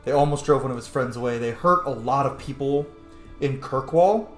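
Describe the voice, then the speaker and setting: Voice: medium pitch, moderate pace. A game streamer giving casual let's-play commentary, musing aloud and swearing now and then. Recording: roomy echo, faint game music behind. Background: game music.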